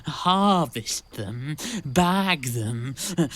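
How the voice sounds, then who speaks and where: A man's voice: fairly high pitch, animated, very slow, fairly bright tone, no echo, exaggerated voice. An actor playing a sly old male bean trader with a hesitant, coaxing sales manner in a pantomime audio drama. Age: older.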